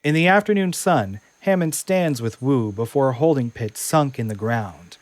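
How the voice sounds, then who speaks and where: A man's voice: mid-range voice, lively intonation, normal speed, dry recording. A man narrating a scripted audio drama in a dramatic, descriptive way.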